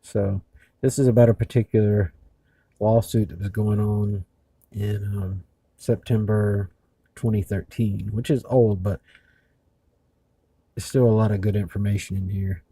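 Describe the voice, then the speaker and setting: A man hosting a video in casual narration, speaking haltingly with labored speech after mouth surgery. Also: lowish voice, steady tone, warm tone, slightly rough, no room sound. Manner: halting, hesitant. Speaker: video host.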